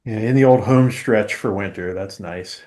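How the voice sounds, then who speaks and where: A man's voice: on the low side, normal speed, a little mellow. A man co-hosting a podcast, chatting casually with dry, wry, brief replies. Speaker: podcast co-host. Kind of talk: casual chat.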